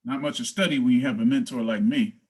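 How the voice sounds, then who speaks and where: A man's voice: mid-range voice, quick pace, natural voice. A man reading the part of a cocky, jovial veteran police officer in a film script read over a video call. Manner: boastful, breezy. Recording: faint noise, video call.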